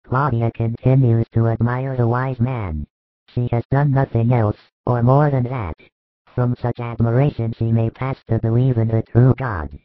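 A man voicing a calm, resolute male teacher who speaks in a measured, firm way. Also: mid-range voice, no echo, phone-quality sound, natural voice. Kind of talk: audiobook reading.

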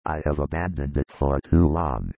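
A man's voice: moderate pace, no echo, dull audio, exaggerated voice. A man voicing a grieving, remorseful male disciple who speaks in a heavy, brooding confession, read as an audiobook. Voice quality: very deep gravelly husky voice. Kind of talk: audiobook reading of a religious narrative.

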